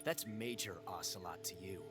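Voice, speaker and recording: An actor playing a cocky, theatrical young Soviet special forces commander with a taunting manner in a video game. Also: male voice, deep voice, normal speed, close to an everyday voice. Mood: smug, taunting. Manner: arrogant, taunting. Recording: loud music over the voice.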